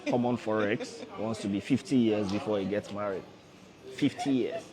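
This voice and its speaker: A man in his early thirties talks to a livestream audience in a low voice, coaching them and repeating his points to drive them home. A busy crowd murmurs behind him. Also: moderate pace. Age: 30s. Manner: repetitive, coaxing, addresses viewers directly. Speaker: forex trader hosting a livestream. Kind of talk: casual motivational livestream talk.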